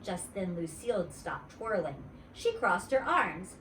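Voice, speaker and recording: A woman's voice: mid-range voice, unhurried. A woman reading a children's story aloud expressively, in the first-person voice of a child. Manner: expressive, dramatizing dialogue. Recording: roomy echo, distant mic, faint noise.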